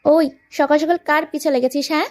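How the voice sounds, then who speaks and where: A woman plays a young female best friend in a romantic audio story. She has a high voice and talks directly, bossy yet caring. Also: fairly even intonation, fairly quick, darkish tone, natural voice. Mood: scolding.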